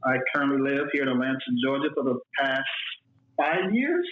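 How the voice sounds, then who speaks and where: A man's voice: medium pitch, very animated, brisk. A man in his forties from Mississippi, guest talking casually about his work as a flight attendant, rambling and repeating himself. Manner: repeats words, loose and rambling. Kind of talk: casual interview talk. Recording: echoey room, phone-like audio.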